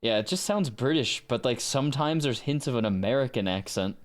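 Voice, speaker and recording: A man's voice: mid-range voice, expressive, a little fast. A man who works as a voice actor and streamer, giving casual live commentary and musing aloud about how words sound. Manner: repeats phrases aloud to study accents.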